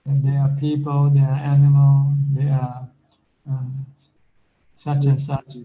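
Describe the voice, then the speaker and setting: A male Buddhist monk talks through a teaching in an even, level tone, with little rise or fall. He repeats himself and leans on homely comparisons to make points. The sound is muffled, lo-fi video call audio. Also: medium pitch, a little slow. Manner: plain, repetitive teaching with simple analogies. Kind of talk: informal Dhamma talk and Q&A.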